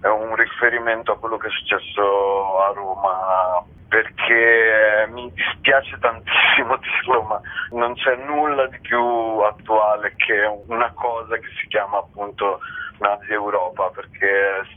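A man's low voice comes through a narrow, phone-like radio line. He talks as an interview guest in a reflective way, feeling for his words and repeating short phrases as he goes. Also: fairly even intonation, a little slow. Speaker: guest theatre actor and author.

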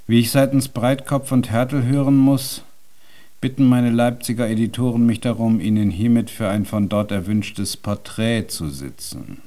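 An actor playing a deaf, cantankerous old composer in a German radio drama, speaking in an ornate, pompous and convoluted way. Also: male voice, mid-range voice, steady tone, moderate pace, mellow, lightly acted. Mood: impatient, haughty.

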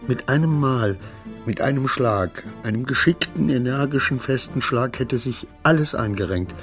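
A man's voice: mid-range voice, animated, normal speed, soft background music, natural voice. An actor playing a distraught, obsequious man losing his grip in a radio play, rambling and questioning himself in formal, old-fashioned phrases. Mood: desperate, brooding.

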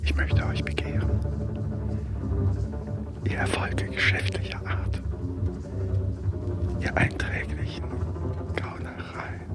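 A man's voice: low voice, fairly even intonation, slow pace, long pauses, whispered, loud music behind the voice. An actor playing a defiant, dying man who declaims invocations against death in a radio play. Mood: hushed longing. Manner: declamatory, invoking. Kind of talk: 1960s German radio drama.

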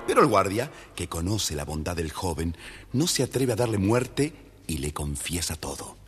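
Talking storybook, lowish husky voice, lively expressive narration, reverberant. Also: male voice, moderate pace, faint music behind. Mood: storytelling. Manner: expressive, addresses the children. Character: friendly talking storybook, male narrator to children. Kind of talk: dramatic children's story narration. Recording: long reverb.

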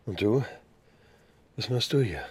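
A creepy forester in a radio play, with a very raspy, breath-heavy voice. He speaks slowly and haltingly, stammering over his words with uneasy menace. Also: on the low side, big pitch swings, darkish tone, no echo, exaggerated voice. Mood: uneasy, lecherous. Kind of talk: radio drama acting.

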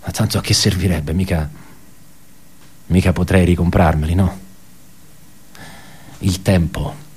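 An actor playing an embittered, pedantic male office worker in a radio theatre monologue, halting and repeating his words as he puts rhetorical questions. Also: low voice, steady tone, darkish tone, slightly rough, full character voice. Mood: brooding, bitter.

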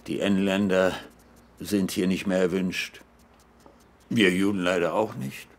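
An actor playing a genial, nostalgic older merchant in a radio drama, with a hesitant, reminiscing manner. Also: male voice, deep voice, little pitch movement, measured pace, a little hiss or hum.

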